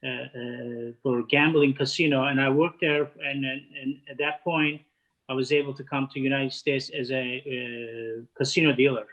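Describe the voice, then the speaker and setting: A man in his fifties from Turkey, speaking with a Turkish accent, answering interview questions in halting speech full of "uh" fillers and restarts. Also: medium pitch, fairly even intonation, highs cut off. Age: 50s. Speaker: guest, head of catering. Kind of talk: casual interview chat.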